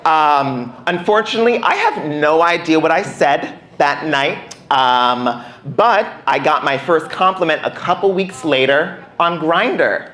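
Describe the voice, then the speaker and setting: Young man, American accent, fairly high voice, stand-up comedy delivery, conversational, self-deprecating, roomy live club recording. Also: lively intonation, measured pace, few pauses, fairly bright tone. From United States.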